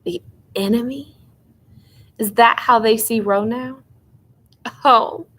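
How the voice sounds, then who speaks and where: A woman's voice: fairly high pitch, fairly even intonation, slow, fairly bright tone, voice pushed up. A woman voicing a frightened, defiant young girl in a storybook, protesting emotionally. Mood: distressed disbelief.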